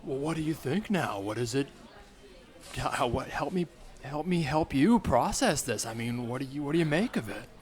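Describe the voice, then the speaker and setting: A man's voice: mid-range voice, lively intonation, moderate pace, lightly acted. A man playing a young woman, an earnest and scolding friend, questioning and mock-serious in improvised comedy. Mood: puzzled, supportive.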